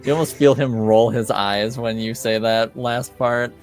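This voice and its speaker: A man narrating a tabletop roleplaying game as game master in a measured, hesitant, low-key way. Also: fairly even intonation. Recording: music behind the voice.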